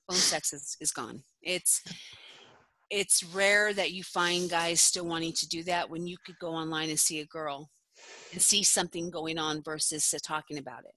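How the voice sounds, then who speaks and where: A middle-aged woman, a former phone sex operator, telling stories in a casual podcast interview, chatty and quick to laugh. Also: on the low side, pushed and bright, no room sound, rough recording. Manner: chatty storyteller who laughs often.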